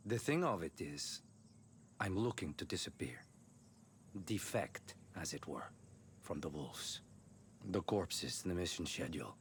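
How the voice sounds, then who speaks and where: An actor playing a calm, cunning, laid-back mercenary commander in a video game. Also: male voice, sits low, measured pace, pauses often, a little hiss or hum. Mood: measured, confiding. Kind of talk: video game cutscene acting.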